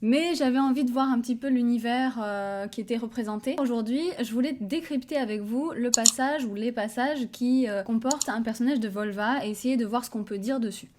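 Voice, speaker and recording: A woman's voice: on the high side, fairly quick, few pauses, noisy background. A young woman talking casually about Norse history and myth for her channel, thinking aloud with frequent hesitations. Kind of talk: casual educational commentary.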